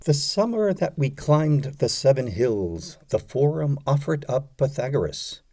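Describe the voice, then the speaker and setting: A man reading poetry aloud as an audiobook, with deliberate, dramatic phrasing and frequent pauses. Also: medium pitch, animated, warm tone. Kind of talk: expressive poetry recitation.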